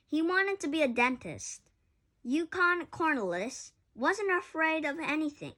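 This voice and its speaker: A woman's voice: high-pitched, fairly bright tone. A woman reading a children's picture book aloud expressively, with occasional stumbles and self-corrections. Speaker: story reader.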